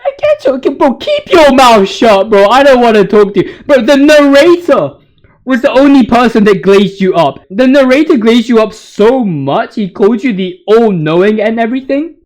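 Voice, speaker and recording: Young male gaming YouTuber, high voice, informal and excitable, rambles in heavy slang and exaggeration. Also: normal speed, low-quality recording. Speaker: video creator, commentator. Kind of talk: informal video game commentary.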